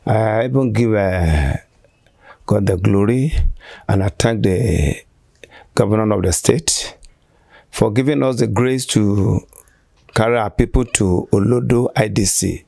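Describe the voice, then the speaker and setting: Interviewee: Nigerian man in his sixties, church shepherd. Deliberate, halting delivery, very unhurried, dry sound. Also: lowish voice, vocal fry, mellow, dry recording. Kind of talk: interview answers. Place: small furnished room indoors, on a sofa. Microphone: handheld interview microphone held by interviewer.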